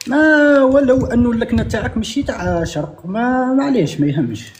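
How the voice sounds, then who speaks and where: A man with an Algerian Arabic accent who talks fast in a fairly high voice and argues back defensively as a caller on a live stream. A faint noise runs under his line. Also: expressive. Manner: argumentative, defensive.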